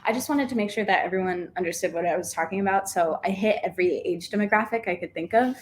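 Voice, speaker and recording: A stand-up comedian with a high voice, delivering a dry, deadpan set. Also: female voice, fast talker, a little hiss or hum.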